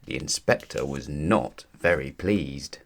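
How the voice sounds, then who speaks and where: Deep-voiced man reading a children's story. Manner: expressive, deliberate storytelling. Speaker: storybook narrator. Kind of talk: children's read-aloud narration.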